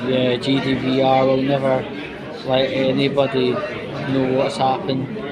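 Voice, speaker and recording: A man giving a casual personal update to his viewers, speaking in a halting way with emphatic repetitions. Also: medium pitch, monotone, poor audio. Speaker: vlogger. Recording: busy indoor public space, crowd noise. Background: loud crowd chatter in a large indoor space.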